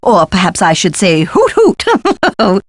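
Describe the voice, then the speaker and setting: An eccentric lady history scholar for an adventure game, recorded close and dry. Her pitch swoops about in a lively way as she gushes and fusses. The delivery is pompous and theatrical, with precise diction. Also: a little slow, dry recording, exaggerated voice. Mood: chirpy, excited. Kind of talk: scripted video game dialogue.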